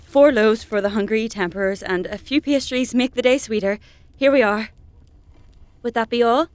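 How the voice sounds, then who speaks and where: An actress playing a deferential refugee bakery shopkeeper in a dark fantasy audio drama, hesitant and careful in her speech. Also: on the high side, normal speed, clear, resonant tone, no echo, lightly acted. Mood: politely uneasy.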